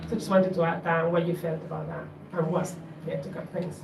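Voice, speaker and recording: A woman from Bolivia speaking up from the audience at an open panel, in an earnest, measured, slightly halting way. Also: fairly low pitch, level tone, gravelly, noisy background. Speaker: audience member. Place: community event room. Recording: echoey room, distant mic.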